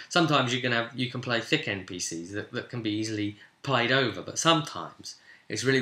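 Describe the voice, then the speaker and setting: Male game master, very bright and forceful voice, rambling casual session recap, roomy echo. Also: medium pitch. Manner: rambling, chuckling storyteller who acts out dialogue. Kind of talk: casual vlog recap of a tabletop role-playing session. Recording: echoey room sound.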